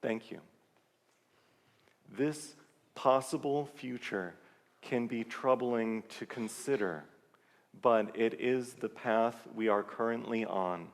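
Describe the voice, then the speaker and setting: A man leading a church service, giving a sermon-style reflection to the congregation in an earnest, measured way. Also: mid-range voice. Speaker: service leader. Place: large church sanctuary. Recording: long reverb of a large church hall.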